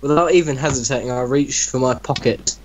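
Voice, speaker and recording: A man's voice: mid-range voice, fairly quick, rough recording. A young man giving a mock-dramatic reading of a story among friends, savouring the text. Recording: loud acoustic guitar music behind voice. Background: acoustic guitar music.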